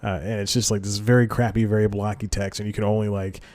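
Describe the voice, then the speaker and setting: A man's voice: fairly low pitch, little pitch movement, quick pace, a little mellow. Rambling young man hosting a podcast. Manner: rambling, full of fillers and self-corrections. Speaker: podcast host. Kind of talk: casual solo podcast monologue. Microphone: desk microphone.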